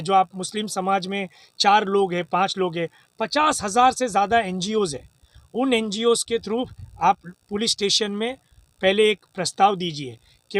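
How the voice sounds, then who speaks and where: A man's voice: high-pitched, fairly bright tone, dry recording. A man from making an impassioned, exhortative political appeal, emphatic and repetitive, with English words mixed in. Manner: exhortative, emphatic, repeats phrases for effect; mixes English words into speech. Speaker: political activist giving a statement.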